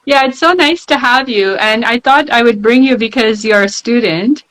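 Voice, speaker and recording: Female moderator, a bit high, warm encouraging chat with fillers. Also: normal speed, faint music behind, poor audio. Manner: encouraging, filler-heavy, warm praise of guests. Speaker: panel host. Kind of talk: moderating an online panel.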